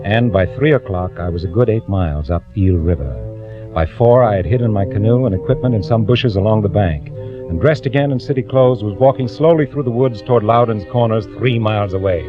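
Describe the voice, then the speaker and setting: Man playing calculating everyman impostor for vintage radio thriller; lowish, measured voice, muffled sound over prominent music. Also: lively intonation, lightly acted. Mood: calm, calculating. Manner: measured, confiding first-person narration. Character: quietly scheming male clerk turned impostor, narrating his plot. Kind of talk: 1940s radio acting, scripted narration.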